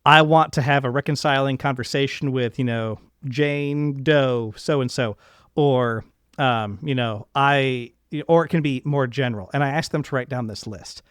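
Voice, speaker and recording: A man's voice: mid-range voice, normal speed, fairly bright tone, smooth, no echo. A man hosting a casual interview podcast, talking in an earnest, effusive and wordy way. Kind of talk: casual, reflective interview conversation.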